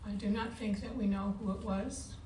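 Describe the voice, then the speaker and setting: A woman giving a halting, unscripted answer as a candidate at a public forum, repeating words as she goes. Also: mid-range voice, fairly even intonation, mellow. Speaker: school board candidate at a forum. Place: meeting board room. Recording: echoey board room, distant mic, background noise.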